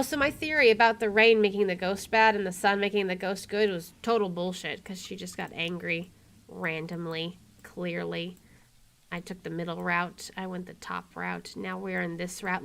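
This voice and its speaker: A woman's voice: medium pitch, a bit bright. Wry woman narrating a game playthrough. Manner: wry, joking asides. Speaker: video game streamer. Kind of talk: reading game text aloud with casual commentary.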